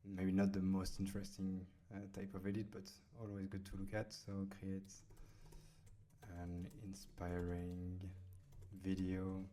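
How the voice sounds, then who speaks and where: Man, French accent, low pitch, flat delivery, hesitant with fillers, casual tutorial, faint typing behind. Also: measured pace, a little hiss or hum. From France. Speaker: software demo host. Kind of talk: casual screen-recorded tutorial.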